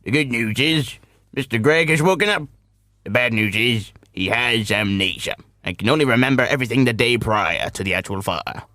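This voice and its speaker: An actor playing a matter-of-fact hospital doctor in a radio drama, speaking in a measured way. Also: male voice, mid-range voice, animated, bright, forceful tone, no room sound, clean studio sound.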